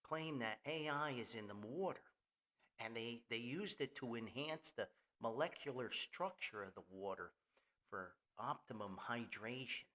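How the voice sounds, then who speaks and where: A man's voice: medium pitch, lively intonation, a little slow, pushed and bright. An older man hosting a casual, rambling podcast in a folksy, jokey manner. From Paterson, New Jersey, US. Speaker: podcast host.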